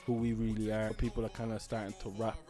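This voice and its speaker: Low, flat-voiced man. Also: faint music behind. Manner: measured and thoughtful, with hesitant fillers. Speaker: commentator on the music scene. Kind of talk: reflective interview talk.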